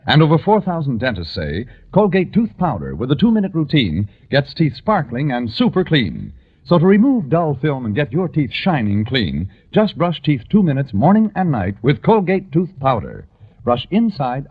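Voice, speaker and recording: A man's voice: mid-range voice, huge pitch swings, normal speed, husky. A man delivering a scripted 1940s radio commercial as an announcer. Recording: tinny 1940s radio recording.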